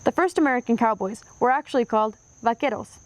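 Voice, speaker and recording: A woman narrating a children's history book aloud with clear, expressive storytelling. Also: fairly high pitch, fast talker, dry recording.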